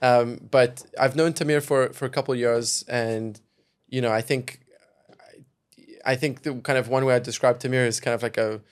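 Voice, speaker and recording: A man's voice: medium pitch, fairly even intonation, normal speed, smooth. A young man from South Africa, a guest on a podcast, speaking thoughtfully and hedging often with "kind of" and "you know". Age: young adult. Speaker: venture capital investor, podcast guest. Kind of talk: casual podcast conversation.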